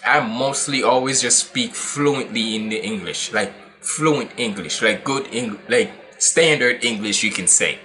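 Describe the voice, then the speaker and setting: A man's voice: mid-range voice, unhurried, bright, forceful tone, faint music behind. A man in his twenties from Jamaica with a Jamaican accent, giving casual, rambling, slangy commentary as a reaction video host in a room at home. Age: 20s. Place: small indoor room at home.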